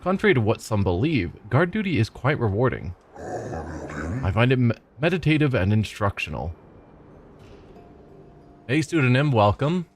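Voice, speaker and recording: A man's voice: medium pitch, big pitch swings, normal speed, long pauses, a little hiss or hum. A congested man commentating casually as he plays a video game, musing and chatting as he goes. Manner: musing, chatty, thinking aloud. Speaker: streamer playing a game. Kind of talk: casual let's play commentary. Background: game music.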